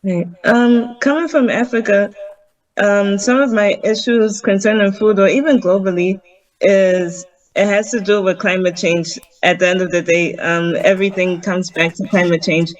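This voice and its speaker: A woman's voice: mid-range voice, steady tone, moderate pace, muffled recording. A woman from Zimbabwe, a vegan chef, answering interview questions earnestly over a video call, with frequent ums. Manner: earnest, explains step by step with many ums. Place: home in Harare.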